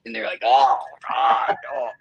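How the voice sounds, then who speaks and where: A woman guesting on a livestream chat who jokes and laughs with quick asides. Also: extremely low pitch, sing-song, gravelly, muffled recording. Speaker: guest YouTuber.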